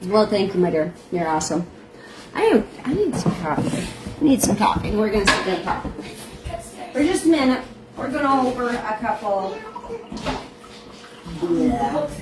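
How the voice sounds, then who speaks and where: A woman's voice: mid-range voice, very animated, moderate pace, pauses often, background noise. A middle-aged woman with a strong accent chatting casually on a livestream, giving bread-baking tips and greeting viewers by name. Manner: says she has a strong accent.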